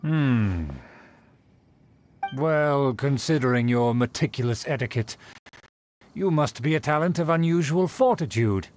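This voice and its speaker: A man's voice: mid-range voice, a little slow, long pauses, a little mellow, a little hiss or hum, natural voice. An actor playing a pompous, snobbish nobleman in a video game, speaking in a formal, affected manner. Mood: gracious, self-important. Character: pompous snobbish nobleman of a fallen aristocratic clan.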